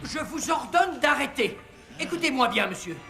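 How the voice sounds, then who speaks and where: A woman's voice: sits high, expressive, moderate pace. A woman voicing an indignant, protective parent in a cartoon, stern, formal and flustered. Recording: music underneath.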